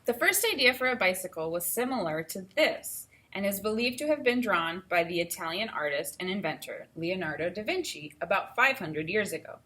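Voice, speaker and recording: A woman teacher giving an instructional read-aloud, explaining and thinking out loud as she goes. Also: mid-range voice, animated, a little fast, few pauses.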